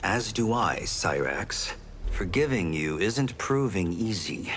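An earnest young fighter in a fighting game. He is guarded and terse, with a lowish, lively voice over faint music. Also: slow pace, slightly rough, exaggerated voice. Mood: guarded, serious. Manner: terse, serious. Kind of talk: fighting game character dialogue.

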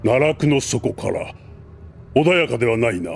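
An actor playing a dignified middle-aged king who speaks in a formal, measured way in an anime drama CD. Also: mid-range voice, animated, creaky, breathy edge, full character voice. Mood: stately.

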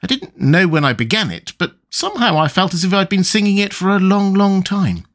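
A man's voice: sits high, animated, fairly quick, fairly bright tone, voice pushed up. A man voicing a polite, puzzled young girl with a raised, light voice in a storybook reading.

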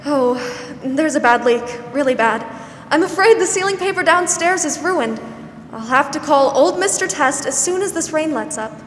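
A woman's voice: sits high, animated, moderate pace, fairly bright tone. A woman acting a kindly, patient older country spinster in a 1940s-style radio drama. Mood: gentle. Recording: roomy stage hall, faint noise.

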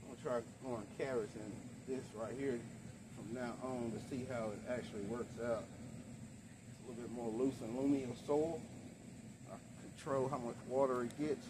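Middle aged guy doing a casual garden vlog outside, plain unhurried talk, noisy ambience behind him. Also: steady tone. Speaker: home gardener vlogger. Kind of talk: casual product demonstration. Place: outdoors in a backyard garden. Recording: noisy outdoor ambience, traffic-like rumble.

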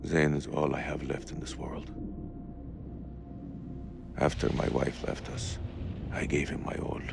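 Low, gravelly, brooding soldier father. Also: flat delivery, measured pace, over music, exaggerated voice. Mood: tender, weary. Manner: solemn, brooding, heartfelt. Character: weary, devout soldier and devoted father, sombre. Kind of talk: cinematic video game dialogue.

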